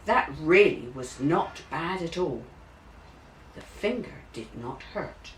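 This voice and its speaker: A woman narrating a children's story expressively, with dramatic, deliberate, drawn-out emphasis. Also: low voice, lively intonation. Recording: roomy, distant mic, faint hiss.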